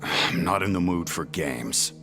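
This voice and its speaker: A man playing a grim, weary, battle-hungry warrior in a video game, with a terse, brusque, deadpan delivery. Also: low-pitched, a little slow, over music. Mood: impatient. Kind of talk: video game cutscene acting.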